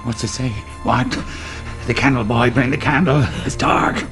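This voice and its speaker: An actor playing a fierce, drunken old sea captain in a radio play, gruff, explosive and bullying. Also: male voice, medium pitch, steady tone, moderate pace, over music, close to an everyday voice. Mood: agitated, desperate.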